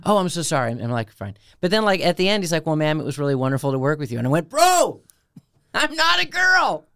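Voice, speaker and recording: Tenor guy co-hosting a radio chat, clean smooth voice, dry studio sound, animated storyteller. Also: fast talker, no room sound. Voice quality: high tenor voice. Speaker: radio show co-host. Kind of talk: casual chat.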